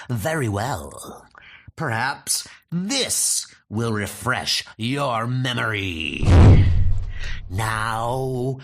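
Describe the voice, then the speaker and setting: A man voicing a cunning, vengeful Norse god of mischief with theatrical, taunting, drawn-out delivery in a comic book dub. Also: mid-range voice, fairly bright tone, exaggerated voice. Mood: sly, menacing.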